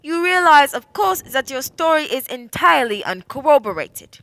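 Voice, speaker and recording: A woman's voice: high-pitched, sing-song, moderate pace, a bit bright, no echo, exaggerated voice. A woman playing a formal, authoritative French police prefect questioning people in a radio play. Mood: formal, probing. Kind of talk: radio play courtroom acting.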